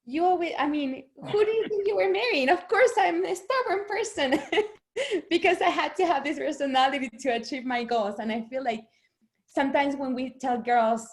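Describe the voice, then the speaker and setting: A middle-aged woman from Argentina, a native Spanish speaker, talking as an author guest in an interview, animated and laughing often. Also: high-pitched, normal speed. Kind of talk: conversational interview.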